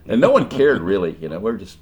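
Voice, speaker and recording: An older man, a musician, chatting casually and self-deprecatingly as he reminisces. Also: on the low side. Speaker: musician, guest.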